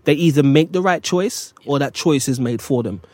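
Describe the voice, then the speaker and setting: A man's voice: fairly high pitch, normal speed, a little mellow, no echo. A man co-hosting a casual talk, reflective and repeating phrases as he thinks. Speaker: podcast co-host. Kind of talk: casual chat.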